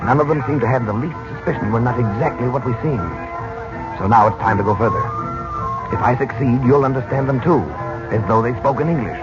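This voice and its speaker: A man's voice: medium pitch, a little fast, natural voice. A male actor playing a grave, mysterious magician uncle, solemn and portentous, in 1940s radio serial style. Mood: grave, guarded. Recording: muffled old radio sound, music behind.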